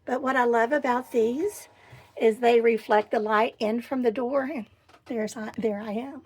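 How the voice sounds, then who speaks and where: A middle-aged woman with a hoarse voice, chatting casually as she gives a tour of her camper van. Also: a little high, steady tone, a little mellow. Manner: chatty and enthusiastic, pausing while showing things. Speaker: vlogger. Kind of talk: casual home tour vlog. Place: inside a small camper van.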